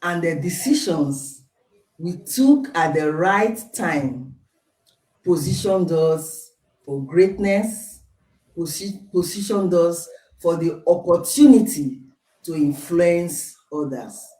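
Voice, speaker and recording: Slow, deliberate woman host in an echoey room. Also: fairly low pitch, animated, warm tone, distant mic. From Nigeria. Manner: slow, deliberate, formal welcomes. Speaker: live show host. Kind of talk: hosting a live online talk.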